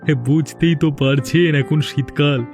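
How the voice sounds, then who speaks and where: Man acting a high-voiced fawning crooked cop. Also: steady tone, normal speed, darkish tone, faint music behind, close to an everyday voice. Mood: greedy, fawning. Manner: obsequious, hemming. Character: fawning, corrupt male police officer. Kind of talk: cartoon drama acting.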